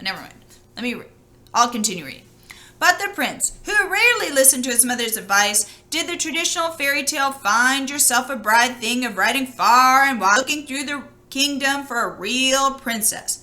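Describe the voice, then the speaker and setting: A woman reading a story aloud in an expressive, conversational way, as if talking with her listeners. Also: high-pitched. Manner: expressive, conversational, pausing to comment. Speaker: storybook reader. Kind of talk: read-aloud storytelling with chatty asides to listeners.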